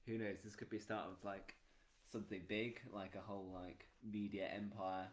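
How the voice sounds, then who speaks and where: A man's voice: fairly low pitch, flat delivery, moderate pace. A man with an English accent chatting casually as a co-host, with a dry, jokey, self-deprecating manner. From England. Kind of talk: casual chat, informal demonstration. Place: room in a house. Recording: echoey room.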